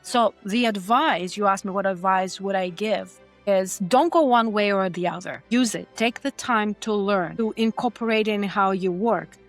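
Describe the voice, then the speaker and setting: A woman's voice: mid-range voice, normal speed, soft background music. Earnest woman from Greece explaining carefully. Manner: earnest and explanatory, with frequent restarts. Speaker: podcast guest. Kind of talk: conversational interview.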